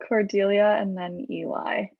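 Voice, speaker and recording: A woman's voice: medium pitch, flat delivery, a little slow, narrow, tinny audio. A woman moderating a casual question-and-answer session in an encouraging, polite way. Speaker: moderator of a post-show discussion. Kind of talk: casual hosted Q&A.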